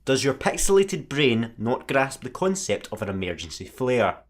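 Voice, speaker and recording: An actor with a Scottish accent playing a sarcastic, grumbling space satellite worker in a comedy radio play, his voice husky. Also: medium pitch, very animated, normal speed. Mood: sarcastic, irritable. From Scotland.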